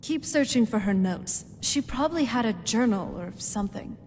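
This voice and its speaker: A woman's voice: fairly high pitch, expressive, moderate pace, darkish tone, a little hiss or hum, close to an everyday voice. An actress playing a curious young female vampire in a video game, speaking in a conversational, wondering way. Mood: thoughtful, focused. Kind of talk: video game character dialogue. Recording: long hall reverb, music behind.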